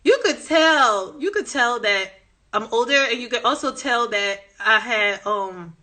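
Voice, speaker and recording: A woman's voice: fairly high pitch, expressive, a little slow, sharp tone. A woman with a Southern American accent chatting passionately on a phone live stream, reading viewer comments as she goes. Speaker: language-learning Instagram host.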